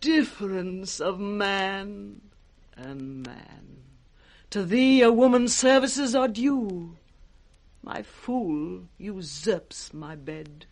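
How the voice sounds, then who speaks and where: A woman's voice: mid-range voice, animated, a little mellow. An actress playing a scornful, scheming, commanding noblewoman in a British accent, in a theatrical 1960s radio Shakespeare style. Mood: seductive, hushed. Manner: theatrical, deliberate, swooping delivery.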